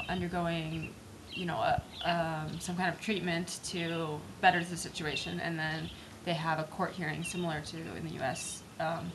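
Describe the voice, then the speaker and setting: A woman with a lowish voice gives an interview testimonial as a student volunteer. She explains things with some hesitation, and noise is clearly audible behind her.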